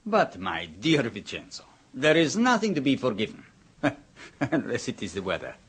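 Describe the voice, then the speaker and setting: A suave, mocking host. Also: male voice, medium pitch, huge pitch swings, moderate pace, a bit bright, heavily acted. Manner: smooth, teasing, theatrical with chuckles. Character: Luigi, suave, mocking, worldly male host. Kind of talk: 1970s radio drama acting. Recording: old radio broadcast.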